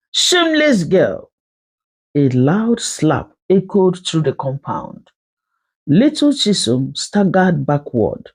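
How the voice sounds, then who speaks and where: A storyteller with a Nigerian accent narrating a folktale in an emphatic, theatrical manner with dramatic pauses. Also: mid-range voice, a little mellow, husky.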